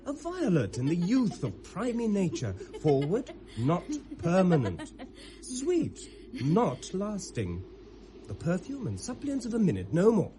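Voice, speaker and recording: A man's voice: sits high, huge pitch swings, measured pace, warm tone, exaggerated voice. A British man playing an earnest young nobleman, a protective brother, with theatrical classic Shakespearean radio delivery. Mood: cautioning. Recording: hissy vintage radio drama recording.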